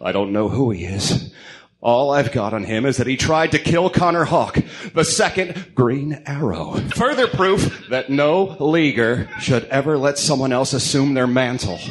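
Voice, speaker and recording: A man voicing a grim, brooding masked vigilante hero, terse, stern and deliberate, in a comic-book radio drama. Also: medium pitch, animated, slight background noise, lightly acted. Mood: terse, serious.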